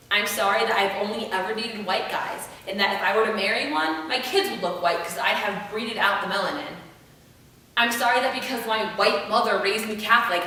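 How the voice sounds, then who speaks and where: Young woman for a rehearsed monologue: bright, forceful voice, dramatic emphasis, heavily reverberant room with faint rumble. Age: young adult. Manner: emphatic, theatrical delivery with deliberate pauses and repeated phrases. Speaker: monologue performer. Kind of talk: rehearsed spoken-word monologue. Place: room without an audience. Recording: echoey room, distant mic, rumbling noise.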